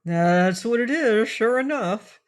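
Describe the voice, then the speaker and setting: A woman's voice: on the high side, lively intonation, slow, pitched above the performer's own voice. A woman voicing an old sailor man who speaks plainly and easily. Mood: calm.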